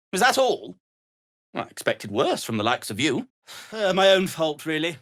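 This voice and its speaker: An actor playing a proud, grumbling deep gnome in a video game, wry, formal and theatrically indignant. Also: male voice, pushed and bright, exaggerated voice. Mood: wry, wary. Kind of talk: scripted video game character lines.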